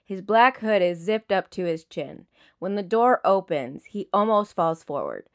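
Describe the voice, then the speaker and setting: A woman's voice: medium pitch, very animated, unhurried. A woman narrating a story in an audiobook style, reading scripted fiction. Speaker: podfic reader.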